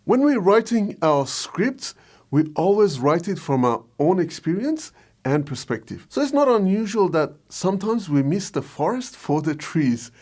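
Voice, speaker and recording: A man's voice: sits high, very animated, measured pace, a little mellow. A man presenting a scripted explainer as a video host and filmmaker. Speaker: video host, short film director.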